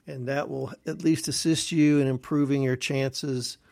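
A man's voice: medium pitch, steady tone, unhurried, gravelly, a little mellow, no echo, clean studio sound. A man co-hosting a podcast, talking in a casual, folksy way and addressing listeners as folks. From Texas. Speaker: podcast co-host.

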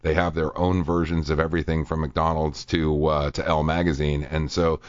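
Male radio host, very low voice, dry studio sound, dull lo-fi recording, casual with fillers. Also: flat delivery, normal speed, no room sound. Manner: reads quotes aloud at length, many fillers.

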